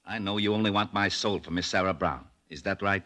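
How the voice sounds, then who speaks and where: An actor playing a cocky, hard-bargaining dice gambler, with taunting, oddly formal gangster phrasing in old radio drama style. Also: male voice, deep voice, fairly even intonation, fairly quick, bright, forceful tone. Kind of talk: 1940s-50s radio drama acting.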